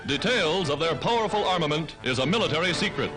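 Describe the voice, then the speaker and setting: An American man reads scripted 1940s newsreel narration in a dramatic, declamatory way. His voice sits fairly high and swings widely in pitch, with loud music playing behind him throughout. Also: lively intonation, quick pace. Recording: vintage 1940s newsreel soundtrack.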